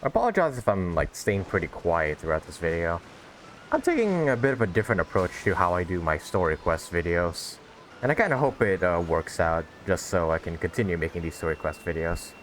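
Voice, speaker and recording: A man's voice: low-pitched, very animated, a little fast, low-quality recording. A man giving casual playthrough commentary as a gaming video host, with some noise behind him. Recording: background noise and game ambience.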